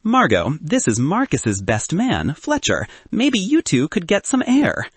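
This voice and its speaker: A man's voice: fairly high pitch, huge pitch swings, measured pace, slightly rough, no room sound, voice pushed up, heavily acted. A man voicing a wealthy, bossy bride in an audiobook, urgent and exasperated. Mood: forced politeness.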